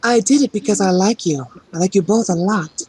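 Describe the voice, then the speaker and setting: A woman's voice: medium pitch, a little fast, warm tone, natural voice, low-quality recording. A woman voicing a flirtatious, gleeful half-demon castle maid in a fan-dubbed fantasy game, coy and gushing.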